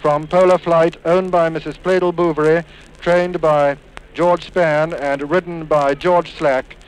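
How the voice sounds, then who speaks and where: A man's voice: a little high, flat delivery, a little slow, clean tone. A British man giving formal, precise live horse race commentary in a 1950s broadcast style. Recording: noisy 1950s 78 rpm record.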